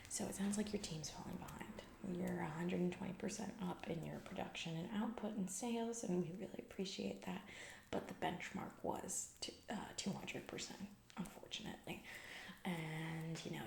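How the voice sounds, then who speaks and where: A woman's voice: fairly low pitch, creaky. A woman plays a callous, scatterbrained corporate boss lady in a comedy ASMR roleplay. Her voice is a crackly whisper in a noticeably roomy, echoing space. She rambles breezily in office jargon and trails off, absorbed in herself. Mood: breezily condescending.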